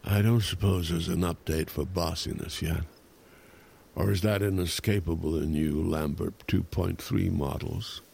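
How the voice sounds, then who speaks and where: An actor playing a sarcastic, cranky old man living with a robot caretaker in an audio drama, his voice gravelly and husky. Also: low voice, fairly even intonation, measured pace, a little mellow, heavily acted. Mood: grumpy. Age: older.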